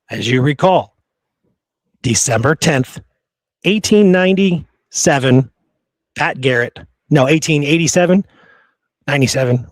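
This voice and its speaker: A man's voice: on the high side, dry recording. A man hosting a casual history discussion, speaking in an emphatic, argumentative and exasperated way. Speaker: podcast host.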